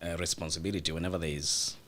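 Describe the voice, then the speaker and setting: A man's voice: little pitch movement, very fast, a bit bright. A Kenyan man from the Mount Kenya region, a studio guest arguing a political point emphatically. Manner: emphatic, rising pitch to press points. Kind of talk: political debate.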